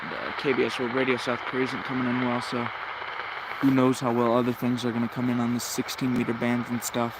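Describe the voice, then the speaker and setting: A man's voice: mid-range voice, monotone, brisk, heavy background noise. A man hosting a casual live radio-tuning session, thinking aloud as he comments. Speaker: shortwave radio hobbyist host.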